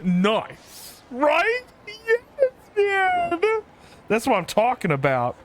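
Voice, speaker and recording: A man livestreaming a game, chatting casually in a halting, repetitive way. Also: very high voice, wildly swooping pitch, a little hiss or hum. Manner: halting, repeats himself. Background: game music.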